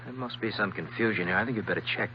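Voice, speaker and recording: An actor playing a formal, curt, officious ship's doctor in a 1950s radio drama. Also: male voice, fairly low pitch, very fast, close to an everyday voice. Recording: dull, faintly noisy old radio recording.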